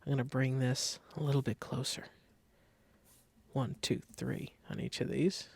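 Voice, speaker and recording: A man giving a casual step-by-step software tutorial, thinking aloud informally as he demonstrates. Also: mid-range voice, little pitch movement, a little slow, vocal fry, breathy edge, no echo. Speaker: design tutorial instructor.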